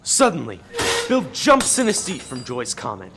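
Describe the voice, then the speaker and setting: A man's voice: medium pitch, wildly swooping pitch, normal speed, background noise. A man reading dramatic scripted narration for a comic radio play.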